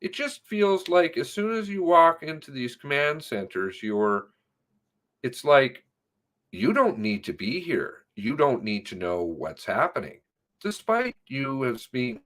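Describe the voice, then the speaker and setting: A man's voice: medium pitch, big pitch swings, slow pace, a bit bright. A man reading an interview transcript aloud, stressing words for emphasis as he goes. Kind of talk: read-aloud of spoken interview transcript with commentary.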